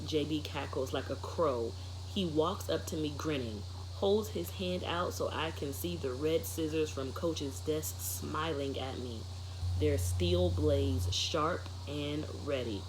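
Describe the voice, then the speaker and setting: A reader narrating a first-person novel aloud, with expressive, dramatic phrasing and deliberate pauses. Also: medium pitch. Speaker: narrator reading aloud for students. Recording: clear background noise.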